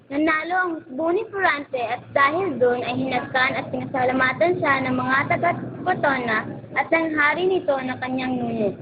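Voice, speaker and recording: A woman narrates a radio drama from a script. The recording is dull, with clear noise around her. Her voice is fairly high.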